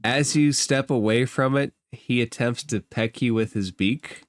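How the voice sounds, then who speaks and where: A man's voice: mid-range voice, a little slow, dry recording. Man running a tabletop game, dry sound. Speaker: game master. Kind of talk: narrating a tabletop game, conversational.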